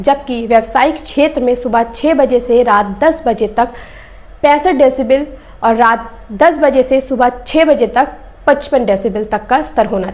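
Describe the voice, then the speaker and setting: Female news presenter with a high voice, delivering scripted commentary straight to the audience. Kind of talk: scripted news commentary, addressing viewers directly.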